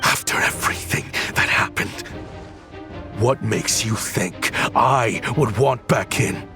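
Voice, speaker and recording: An actor playing a grim, weary man bent on revenge, speaking in a measured, quietly threatening way in a video game. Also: on the low side, vocal fry, over music. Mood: cold menace. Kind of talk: dramatic video game voice acting.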